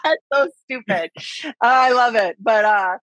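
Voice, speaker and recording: A woman's voice: a little high, a little slow, a bit bright, no room sound, muffled recording. A woman with a Wisconsin accent talking casually and chattily as a guest in an interview. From Wisconsin, USA. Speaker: podcast guest, agriculture advocate and speaker.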